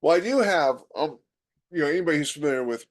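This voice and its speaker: A man's voice: on the high side, expressive, fast. A man co-hosting a podcast, explaining things conversationally as a science fiction writer, with sentences that often trail off and restart. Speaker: podcast co-host and military sci-fi writer. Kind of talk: casual podcast discussion.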